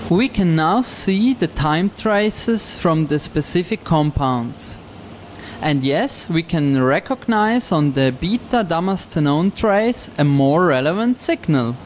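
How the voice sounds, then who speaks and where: A young man with a Swiss accent giving a scripted research presentation in a formal, measured manner. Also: on the high side. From Switzerland. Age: young adult. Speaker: PhD student presenting research. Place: indoor laboratory beside running instruments. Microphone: handheld microphone. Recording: steady machine and air-conditioning hum.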